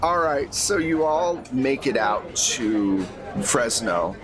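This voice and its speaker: A man's voice: medium pitch, animated, takes it slowly, music in the background. An American man acting as game master, talking casually and conversationally as he narrates a tabletop role-playing game. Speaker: game master of an actual-play podcast.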